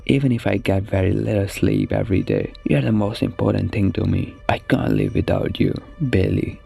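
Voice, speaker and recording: Gravelly, low-voiced man narrating. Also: darkish tone. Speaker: narrator of a horror explainer video. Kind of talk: casual scripted story recap narration.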